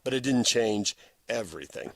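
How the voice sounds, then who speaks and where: A man's voice: lowish voice, expressive, normal speed, gravelly, no room sound. A man hosting a sports talk radio show, talking conversationally and putting questions to guests.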